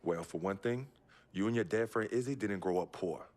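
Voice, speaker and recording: A man's voice: fairly low pitch, moderate pace, darkish tone, natural voice. A man playing a defensive young ball player. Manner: defensive, protesting. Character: young male basketball player, loyal and defensive. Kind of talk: video game cutscene acting.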